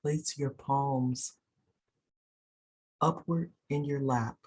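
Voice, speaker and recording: Slow, husky voice leading guided meditation. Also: medium pitch, steady tone, a little mellow. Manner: soothing, unhurried, pausing between short phrases.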